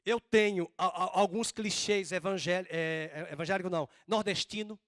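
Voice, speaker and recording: High-voiced Northeastern Brazilian pastor preaching. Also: male voice, fairly bright tone, no echo, studio quality. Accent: Northeastern Brazilian Portuguese. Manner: drawn-out, emphatic preaching with slow stressed phrases. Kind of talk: sermon.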